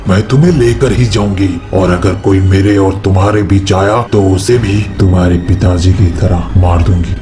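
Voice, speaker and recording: A man voicing a menacing, possessive female witch in a horror story, speaking in a deliberate, threatening way. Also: low-pitched, voice pushed down, natural voice. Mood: angry, threatening. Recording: music behind the voice.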